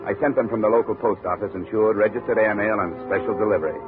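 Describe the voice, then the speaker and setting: A man's voice: lowish voice, fairly even intonation, fast, over music, natural voice. A man playing a tough, sardonic insurance investigator in a 1950 radio detective drama, with a clipped, wisecracking delivery. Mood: hard-boiled narrating. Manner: wisecracking, hard-boiled, clipped. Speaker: lead actor and narrator. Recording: tinny old 1950 radio recording.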